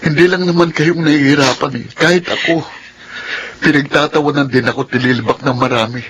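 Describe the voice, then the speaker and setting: Defensive young son, slightly high voice, pleading and faltering, faint ambience behind. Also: male voice, fairly even intonation, fairly quick, a bit bright, a little hiss or hum, poor audio. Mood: defensive, hurt. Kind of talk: melodramatic radio drama acting.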